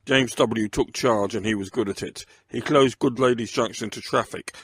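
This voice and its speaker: Gravelly male crime story narrator. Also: medium pitch, normal speed, very noisy. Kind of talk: first-person scripted story narration.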